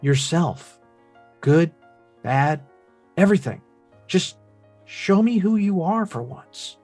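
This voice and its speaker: Male actor as exasperated adult son in a family drama, earnest and insistent, slightly high register. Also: animated, slow, darkish tone, no room sound, soft background music. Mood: pleading, earnest. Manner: earnest, pressing, emphatic. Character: frustrated, earnest grown son confronting his father. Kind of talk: table read of a film script.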